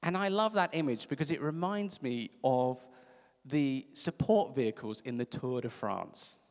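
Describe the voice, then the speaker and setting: A man's voice: medium pitch, lively intonation, unhurried. A man from Africa, preaching a sermon in a conversational storytelling way with emphatic repeats. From South Africa. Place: church service. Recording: reverberant church hall.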